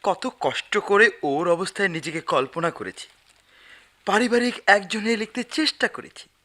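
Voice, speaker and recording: A man's voice: high-pitched, expressive, normal speed, sharp tone. A man in a radio play acting a vain, aggrieved poet, theatrical and easily outraged.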